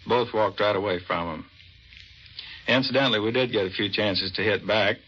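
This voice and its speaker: A man's voice: on the low side, normal speed, slightly rough. An American man, a navy commander, giving a plain, matter-of-fact scripted interview on 1940s radio. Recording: dull vintage 1940s radio recording.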